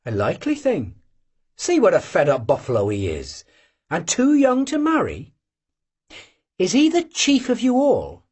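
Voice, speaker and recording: A man voicing a bold, sharp-tongued young Cossack woman who talks curtly and mockingly, in a husky voice. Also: high-pitched, wildly swooping pitch, a little slow, pauses often, voice pushed up, heavily acted. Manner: curt, scolding.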